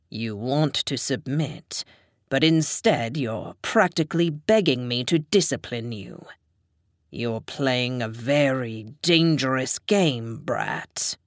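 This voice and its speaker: A woman voicing a stern, domineering man with an English accent, speaking in a low, scolding murmur. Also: takes it slowly, dry recording, clean studio sound, full character voice. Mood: menacing.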